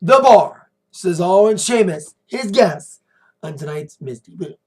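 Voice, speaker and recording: An American man with a slightly hoarse voice, giving a rambling, informal and emphatic recap as a wrestling review vlogger. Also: sits high, animated, measured pace. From United States. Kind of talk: casual recap commentary to camera.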